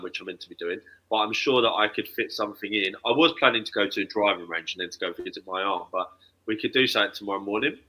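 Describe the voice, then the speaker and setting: A man with a low voice and a very bright, forceful edge co-hosts a relaxed football chat. He jokes easily and has a chesty cough. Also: poor audio. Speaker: podcast co-host.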